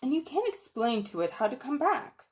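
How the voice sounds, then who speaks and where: A woman's voice: high voice, very animated, normal speed, pitched above the performer's own voice. A woman doing a sly old magician uncle. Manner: cunning, falsely friendly.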